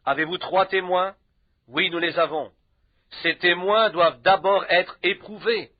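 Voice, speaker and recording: A man's voice: sits high, a little slow, no echo. A man delivering a sermon in an emphatic way, driving points home with short repeated affirmations. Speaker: preacher. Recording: dull, lo-fi, dry sound.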